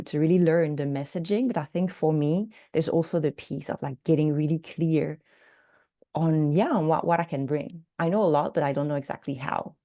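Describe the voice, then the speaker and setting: A woman in her forties with a French accent speaks over a video call. Her voice sits low and mellow. She searches for her words and restarts phrases as she introduces herself. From France. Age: 40s. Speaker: coaching program participant.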